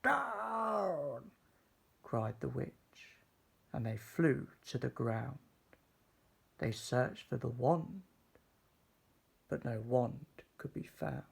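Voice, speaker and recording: A man reading a children's story aloud in a measured, expressive, dramatic way. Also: mid-range voice, creaky, slightly rough. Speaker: storybook reader.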